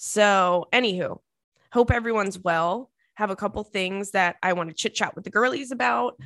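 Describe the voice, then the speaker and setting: A woman in her thirties with a little rasp in her voice, chatting casually as she hosts a podcast from her kitchen table. She tells stories in a lively, gossipy way, and the sound is dry and close with no room echo. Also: medium pitch, normal speed. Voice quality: slightly raspy. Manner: chatty, animated storyteller. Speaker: podcast host. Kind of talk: casual chat.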